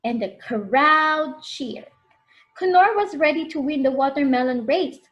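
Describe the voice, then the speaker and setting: A woman's voice: high voice, expressive, unhurried, fairly bright tone, dull audio. A woman reading a picture book to young children as a preschool storyteller, expressively, prompting them with questions.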